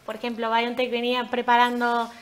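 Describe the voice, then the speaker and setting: A woman's voice: a little high, monotone, a little fast. A woman with an Argentine accent speaking emphatically on a discussion panel, building her points in lists. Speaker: startup representative, panelist.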